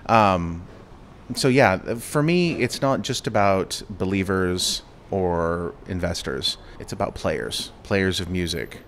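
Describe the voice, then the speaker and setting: A man with a deep, low voice answers questions in an easygoing, casual manner and sometimes restarts his sentences. A faint hum of conference ambience sits behind him. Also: slow. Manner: relaxed, casual, with some restarts. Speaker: interview guest, company executive. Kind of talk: conference interview. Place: crypto conference venue.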